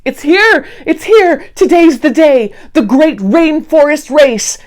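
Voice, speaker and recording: A pleasant, determined storybook sloth, acted out by a woman, speaks in a high, swooping voice. He is earnest and unhurried, every phrase full of expression for young listeners. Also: animated, darkish tone. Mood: excited. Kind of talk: children's storybook character reading.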